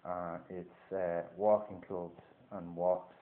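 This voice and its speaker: A man with a Dublin Irish accent, talking casually to camera in a halting way, with lots of fillers and restarts. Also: deep voice, little pitch movement, very noisy. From Dublin, Ireland. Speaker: gold prospecting vlogger. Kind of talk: casual instructional talk to camera. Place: indoors at a table. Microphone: phone camera. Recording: tinny lo-fi phone recording.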